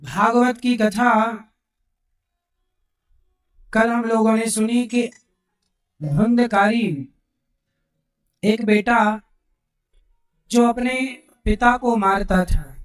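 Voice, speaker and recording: Male preacher, hoarse, slow and measured, question-and-answer with the crowd; distant mic, echoey hall. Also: extremely high pitch. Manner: unhurried, asks the crowd questions and answers them. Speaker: Bhagavat katha preacher. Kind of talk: devotional sermon to a live gathering. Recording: roomy sound from a distant mic.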